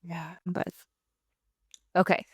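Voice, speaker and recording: A woman's voice: on the low side, little pitch movement, slow pace, breathy edge, no echo, clean studio sound. A woman hosting an interview, chatting casually and encouraging her guest. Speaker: podcast host.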